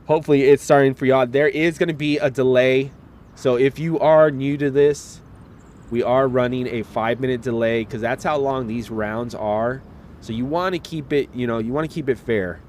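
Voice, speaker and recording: A man casting a live esports match, relaxed and chatty, talking straight to viewers while getting over a slight cold. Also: medium pitch, moderate pace, a little hiss or hum. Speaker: esports caster.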